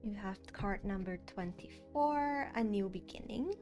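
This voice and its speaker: A woman's voice: mid-range voice, expressive, measured pace, a little mellow, soft background music. A woman with a Thai accent whose first language is Thai, giving a casual card reading and rambling with many fillers and restarts. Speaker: tarot card reader.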